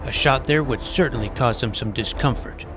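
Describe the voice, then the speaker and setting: A man playing an earnest, nerdy schoolboy superhero who narrates his own adventure in a deadpan first-person style. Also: medium pitch, normal speed, natural voice. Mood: earnest narrating. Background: music.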